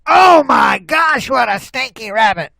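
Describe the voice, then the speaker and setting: A big, menacing bobcat from a children's story, acted out by a man. He speaks very slowly, savoring every word with hungry relish. The recording is close and dry. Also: mid-range voice, animated, dry recording, pitched below the performer's own voice. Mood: loud disgust. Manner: slow, drawn-out, menacing delivery.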